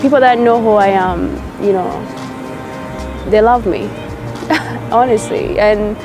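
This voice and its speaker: A young woman speaks in a measured, thoughtful way. She sits outdoors in a park for a documentary interview, with music playing clearly behind her. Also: mid-range voice. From South Sudan. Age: young adult.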